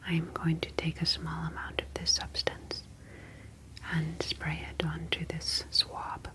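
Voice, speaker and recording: A woman playing a calm, clinical ocean alien scientist in an ASMR roleplay, speaking softly and deliberately to reassure a patient. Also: low voice. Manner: soft, slow, deliberate, reassuring.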